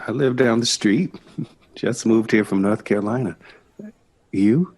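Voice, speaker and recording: An actor playing a cultured, affectionate lover and pianist in an audio drama, wry, teasing and poetic. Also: male voice, lowish voice, measured pace, darkish tone, husky. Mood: flirtatious, poetic.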